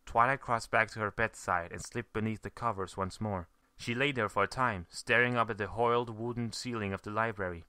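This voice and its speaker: A man's voice: on the low side, brisk, few pauses, sharp tone, clear, resonant tone, no echo. A man reading a scripted story aloud as narrator. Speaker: fan fiction reader, narrator.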